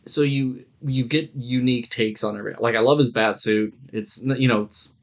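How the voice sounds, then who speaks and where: A man with a mid-pitched voice talks as a podcast co-host. He reviews things in a loose, informal way, as in a casual chat between friends. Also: steady tone, moderate pace.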